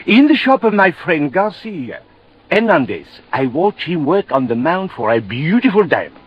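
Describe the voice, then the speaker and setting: An actor playing a fussy, self-important French gem expert with a French accent, speaking formally in a 1950s radio drama. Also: male voice, a little high, sing-song, normal speed, breathy edge. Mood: dramatic. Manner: fussy, formal, insistent on telling it himself. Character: excitable, self-important French gem appraiser, a grown man. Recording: dull old radio recording.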